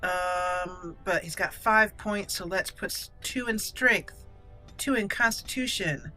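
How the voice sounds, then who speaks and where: A woman's voice: fairly low pitch, slow pace, pushed and bright, poor audio. A woman hosting a let's-play video, commenting casually and talking to herself and the game characters as she plays. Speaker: gaming video host. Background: game music.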